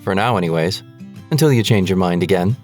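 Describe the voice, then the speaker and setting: Man playing animated, skeptical practical husband. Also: low-pitched, a little fast, faint music behind. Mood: doubtful. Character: dismissive, practical husband and father in haunted house. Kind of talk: audio drama acting.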